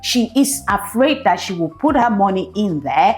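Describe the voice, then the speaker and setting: A woman on a discussion panel, talking earnestly and reflectively about marriage, often pausing to search for words. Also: medium pitch, lively intonation, soft background music.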